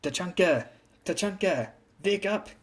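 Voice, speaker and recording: A man's voice: high voice, expressive, unhurried, voice pushed up. A man voicing an arrogant, impatient female spirit of a German warship in a story, with a German accent. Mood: urgent. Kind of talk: dramatised story reading.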